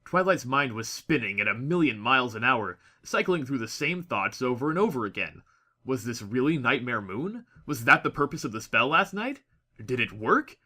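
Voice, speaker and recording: A man reading a scripted story as a narrator, with expressive, dramatic delivery. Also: medium pitch, normal speed. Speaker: audiobook narrator.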